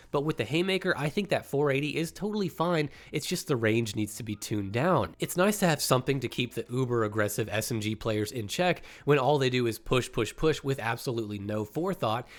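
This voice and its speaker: A man hosting a gaming channel, talking casually through his opinions on game balance with a congested, slightly nasal voice. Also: mid-range voice. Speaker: gaming YouTuber.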